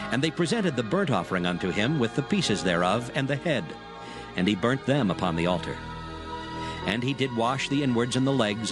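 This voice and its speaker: A man narrating scripture from a script in a measured, solemn manner. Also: medium pitch. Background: music.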